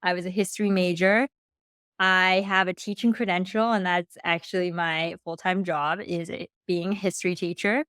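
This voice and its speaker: A woman's voice: lowish voice, fairly even intonation, normal speed, fairly bright tone, clear, resonant tone, no room sound, studio quality. A young American woman, a history teacher, chatting casually as a podcast guest. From United States.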